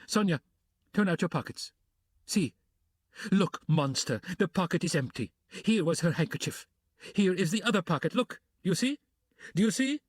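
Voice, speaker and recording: A desperate consumptive widow, hoarse and wailing, her voice leaping high and low, put on by a male reader. The sound is husky and dry, close to the mic. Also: expressive, moderate pace, pauses often, no echo. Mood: frantic.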